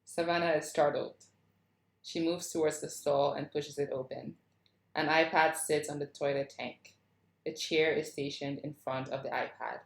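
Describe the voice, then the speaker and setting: Low-voiced woman reading in an echoey room. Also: fairly even intonation, moderate pace, creaky. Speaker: stage directions reader at a screenplay table read. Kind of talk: scripted narration, read aloud.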